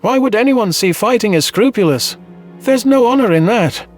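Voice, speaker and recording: Man voicing a very high-pitched, agitated alien. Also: lively intonation, moderate pace. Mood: indignant. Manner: agitated, bitter. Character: distraught, bitter male alien survivor, sheep-like and timid. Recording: music bed under voice.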